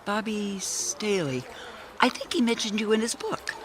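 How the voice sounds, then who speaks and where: A woman's voice: medium pitch, expressive, unhurried, a bit bright, slight background noise. A woman playing a kindly, hesitant secretary who humors a troubled stranger in a radio mystery drama. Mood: flustered, coaxing. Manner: hesitant, placating.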